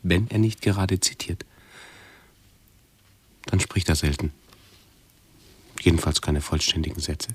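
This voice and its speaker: An actor playing a brooding, hypochondriac intellectual with pedantic, ornate diction in a radio drama. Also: male voice, low voice, measured pace, long pauses, breathy edge. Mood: brooding, insistent. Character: brooding, hypochondriac intellectual man tormented by his body. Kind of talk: 1970s German radio drama acting.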